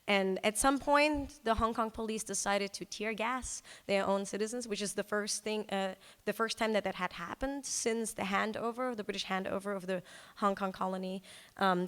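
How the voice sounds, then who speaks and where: A woman's voice: mid-range voice, fairly bright tone, smooth. A woman giving a talk as a presenter, conversational and informal, with casual asides to her listeners. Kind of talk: conference talk presenting a storytelling project. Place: indoor presentation hall. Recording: echoey hall with long reverb.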